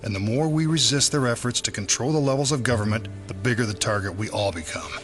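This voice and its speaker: A man's voice: mid-range voice, quick pace, soft background music, lightly acted. An actor playing a wary, stern senior male FBI official, grave and guarded, in an audio drama.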